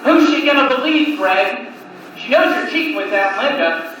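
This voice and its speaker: A man performing a live stand-up comedy set of one-liners with deliberate pauses, recorded on cassette tape. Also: very high voice, animated. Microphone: recorded from a distance on cassette recorder. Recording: noisy cassette tape, echoey room, distant mic.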